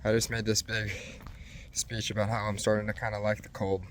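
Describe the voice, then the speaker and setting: A man's voice: on the low side, flat delivery, a little fast, a little hiss or hum. A young man vlogging his workouts, chatty and self-mocking, talking straight to viewers. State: congested, clearing throat. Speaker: fitness vlogger. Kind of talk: casual vlog narration.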